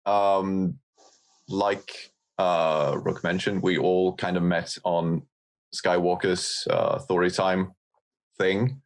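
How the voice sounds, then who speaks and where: A man with a non-American accent speaks as a podcast guest in a low voice. He takes his time, recounting things in a halting, thoughtful way. The tone is that of a casual chat. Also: fairly even intonation.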